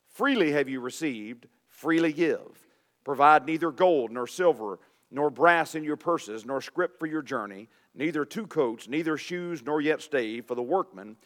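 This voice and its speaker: Hoarse deep-voiced pastor in a reverberant church. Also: male voice. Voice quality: deep, raspy voice. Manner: reads scripture aloud, informal asides. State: hoarse from illness. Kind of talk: sermon. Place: church sanctuary. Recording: long reverb of a church hall.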